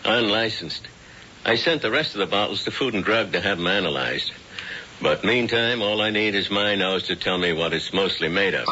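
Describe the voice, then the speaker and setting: A blunt, businesslike police lieutenant. His voice is bright and forceful. He sounds dull and slightly hissy, like an old radio broadcast. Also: fairly low pitch, moderate pace. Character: tough male police lieutenant. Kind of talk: 1970s radio drama acting.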